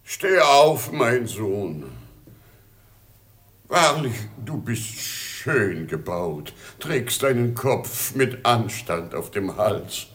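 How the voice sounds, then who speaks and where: A man's voice: medium pitch, lively intonation, very slow, a bit bright. A man playing a pitying, weary old emperor in a theatrical German radio play, plaintive and sighing. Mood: fatherly, grave.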